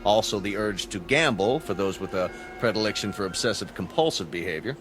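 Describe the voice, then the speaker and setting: A man's voice: fairly low pitch, expressive, fairly quick, music in the background, lightly acted. An actor playing a pedantic, coolly analytical space crewman in an audio drama, with dry, precise delivery.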